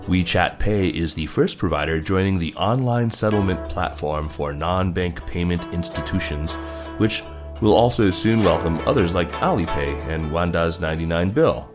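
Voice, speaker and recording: A man hosting a business podcast, reading scripted news in a measured, formal register. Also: deep voice. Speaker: podcast host. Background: plucked guitar music.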